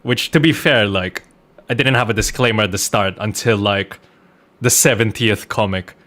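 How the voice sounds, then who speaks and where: A man's voice: fairly low pitch, normal speed, bright, forceful tone. A man chatting informally as a game streamer, swearing now and then and repeating himself for emphasis. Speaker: streamer, voice actor. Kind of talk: casual livestream chat while gaming.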